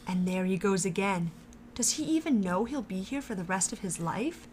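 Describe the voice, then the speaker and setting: A woman reading the narration of an audio drama, calm and even, in a middle pitch. A faint background hiss sits under her voice. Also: normal speed. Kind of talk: storybook narration.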